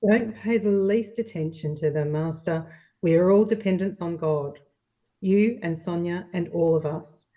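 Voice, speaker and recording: A woman's voice: on the low side, unhurried, far from the mic, muffled recording, natural voice. A woman playing a homely, pious old nanny in an amateur play reading, speaking in a plain, consoling way. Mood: consoling, practical.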